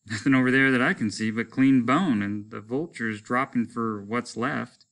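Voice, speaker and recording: A male teacher reading aloud, playing a calm husband who reassures his wife with light amusement. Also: medium pitch, moderate pace, lightly acted. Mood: amused. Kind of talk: classroom read-aloud.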